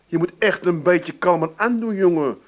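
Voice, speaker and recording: An actor with an Amsterdam accent playing a stingy, unyielding head of a household in a radio comedy. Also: male voice, high voice, normal speed, lo-fi sound, natural voice. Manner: grumbling, emphatic, repeating refusals. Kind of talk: 1980s radio comedy acting.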